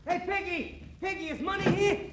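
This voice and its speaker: A man's voice: very high-pitched, steady tone, moderate pace, heavy background noise. A man playing a giddy, gushing pal who is bursting with news in a 1940s radio comedy, repeating himself breathlessly.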